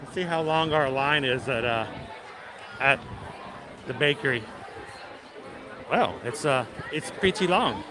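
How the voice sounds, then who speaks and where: A man's voice: mid-range voice, a little slow, pauses often, crowd noise, background noise. A man hosting a casual livestream, chatting and joking with viewers as he walks an outdoor theme park; he stumbles and repeats words now and then. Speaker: livestream host. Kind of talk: casual live-stream chat with viewers.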